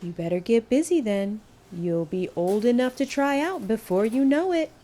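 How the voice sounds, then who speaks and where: A woman's voice: fairly high pitch, lively intonation, normal speed, mellow, voice pushed up, lightly acted. A woman voicing a no-nonsense, loving mother who talks firmly to her children in a storybook. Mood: firm, advising. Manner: firm, admonishing. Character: firm, loving mother of young boys, no-nonsense.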